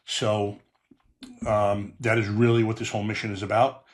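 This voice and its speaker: A man's voice: fairly low pitch, level tone, moderate pace. A middle-aged man with a Long Island New York accent, hosting a podcast in plain, earnest talk with frequent "uh" fillers. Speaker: podcast host. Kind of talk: podcast monologue and interview.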